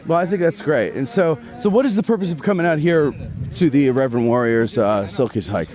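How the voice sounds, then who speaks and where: A man's voice: medium pitch, expressive, brisk, darkish tone, soft background music. A man in his forties doing a casual, joking interview on a group hike outdoors, recorded on a handheld recorder. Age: 40s. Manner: chatty, self-deprecating, joking. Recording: outdoor crowd noise around.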